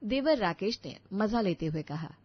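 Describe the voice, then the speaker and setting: A woman's voice: mid-range voice, expressive, normal speed, husky, highs cut off. A woman narrating a scripted children's story as a storyteller.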